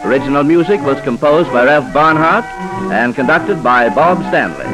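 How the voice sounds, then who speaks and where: A man's voice: fairly high pitch, animated, normal speed, low-quality recording. A man working as host and announcer of a 1940s radio mystery series, speaking in a polished, formal scripted style. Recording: vintage 1940s radio broadcast. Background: music.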